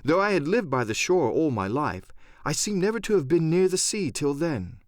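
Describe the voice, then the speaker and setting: A man narrating a first-person adventure story as a dramatic audiobook, expressive and theatrical. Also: on the high side, normal speed, dry recording, studio quality.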